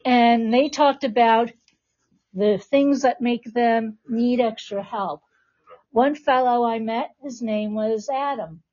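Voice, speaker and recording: A woman's voice: a little high, takes it slowly, darkish tone, highs cut off. A woman hosting a live podcast and teaching a class, speaking with encouraging, reassuring prompts. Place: classroom with about twenty people.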